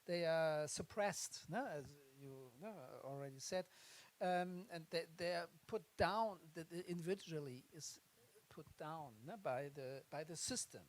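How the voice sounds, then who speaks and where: A person leading a masterclass discussion, speaking slowly and searchingly, often ending phrases with "no?". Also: mid-range voice. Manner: slow, searching, tag question "no?". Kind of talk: reflective discussion.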